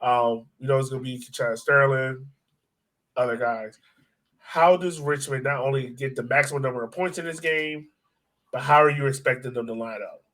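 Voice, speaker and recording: A man's voice: mid-range voice, moderate pace, fairly bright tone, poor audio. A man hosting a casual soccer podcast, talking hesitantly as he thinks aloud. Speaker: sports podcast host.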